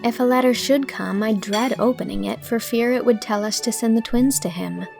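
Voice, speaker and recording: A woman playing an earnest, kindly young woman, gentle and sincere, in an audiobook drama. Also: fairly high pitch, normal speed, creaky, soft background music. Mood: dreading. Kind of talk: audiobook drama character reading.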